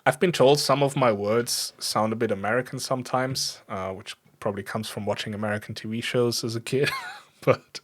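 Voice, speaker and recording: A man's voice: medium pitch, normal speed. A man from Germany with a German accent, chatting casually and in an easygoing, self-deprecating way as he codes on a livestream. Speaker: live coding streamer. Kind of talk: casual livestream chat while programming. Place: indoors at a computer desk.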